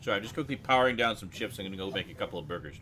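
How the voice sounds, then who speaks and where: Man in animated, loose group chat. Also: fairly low pitch, rapid-fire, background noise. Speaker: host of a weekly geek chat show. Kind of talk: casual live group chat.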